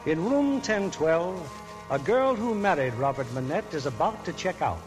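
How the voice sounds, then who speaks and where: A man narrating scenes for a 1940s radio drama in a crisp, formal, dramatic manner. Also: fairly high pitch, sing-song, normal speed. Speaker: narrator and announcer. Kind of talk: scripted narration. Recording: narration over music bed, 1940s radio.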